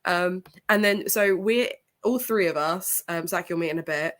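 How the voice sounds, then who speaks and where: A woman's voice: on the low side, normal speed, a bit bright. A young woman hosting a book livestream, talking casually with a blocked nose and sore throat, greeting viewers by name and laughing often. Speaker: book channel host.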